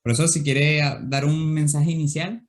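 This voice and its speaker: A man's voice: fairly high pitch, fairly even intonation, normal speed. A man hosting an interview, introducing his former teacher with many fillers and restarts. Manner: friendly, hesitant, many fillers. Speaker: interviewer, channel host.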